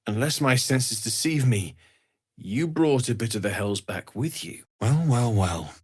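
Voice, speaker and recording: A kindly fantasy smith from a video game, with a mid-pitched voice that has a husky grain. He speaks softly and sincerely, with world-weary concern and an earnest, gentle temper. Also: lively intonation, unhurried. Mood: friendly, sincere.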